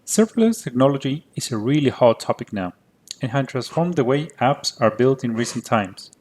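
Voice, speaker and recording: A man from Cyprus, a native Greek speaker with a Greek Cypriot accent, giving a prepared conference talk in a clear, methodical way. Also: medium pitch, animated, normal speed, a little mellow. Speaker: conference presenter.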